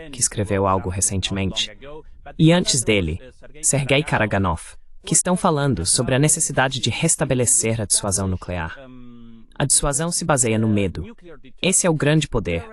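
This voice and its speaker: A man delivering political commentary as the translated voice of an interview guest. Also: fairly low pitch. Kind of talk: Portuguese voice-over of political commentary.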